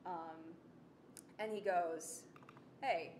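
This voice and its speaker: A young adult woman giving a casual presentation, chatty, animated and self-deprecating. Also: fairly low pitch, extremely slow, slight background noise. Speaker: student presenter of an audio drama project. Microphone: desktop microphone on the table. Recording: roomy, echoey sound with music behind.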